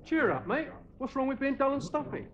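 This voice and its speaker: A man in his thirties playing a cheeky, laddish husband who teases his friend with deadpan quips. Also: very high-pitched, fairly quick. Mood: teasing. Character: cheeky laddish young husband, dry joker. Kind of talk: 1980s sitcom acting. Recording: dull lo-fi TV audio with hiss.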